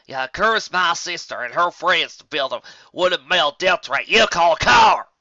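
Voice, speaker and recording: A narrator acts out a female farm pony with a hot temper in an audio story. The delivery is blunt, drawn-out and forceful, pushing hard on accusations. The sound is close, dry and slightly muffled. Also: medium pitch, lively intonation, no room sound, voice pushed up. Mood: angry, accusing.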